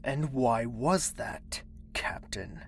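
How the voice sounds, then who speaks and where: A man's voice: mid-range voice, whispered, faint music behind. Man playing an imperious young villain prince. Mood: quietly menacing. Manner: formal, commanding, deliberate. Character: bitter young male prince, self-declared villain, imperious and menacing. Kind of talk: audio drama acting.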